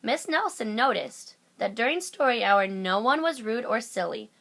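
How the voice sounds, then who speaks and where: A woman's voice: fairly high pitch, lively intonation, normal speed, fairly bright tone. A woman narrating a children's picture book aloud as a storyteller. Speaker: storybook reader.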